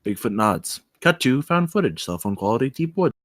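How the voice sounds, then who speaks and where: A man's voice: mid-range voice, big pitch swings, normal speed, no room sound. A man reading out stage directions as the narrator of a screenplay table read.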